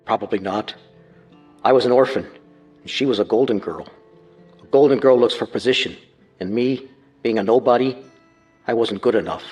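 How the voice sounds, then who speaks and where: A husky-voiced male convict, played by an actor in a true-crime radio drama. He is creepy and smug, savoring his secrets in an insinuating way. His voice rings in a long reverb with music beneath it. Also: medium pitch, moderate pace, long pauses, darkish tone, close to an everyday voice. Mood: bitter. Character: creepy, smug imprisoned killer reminiscing with sinister relish.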